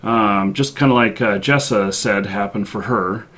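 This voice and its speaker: Man, show host, soothing, slow halting delivery, casual conversation. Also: on the low side, fairly bright tone. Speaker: show host interviewing guests. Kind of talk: casual chat.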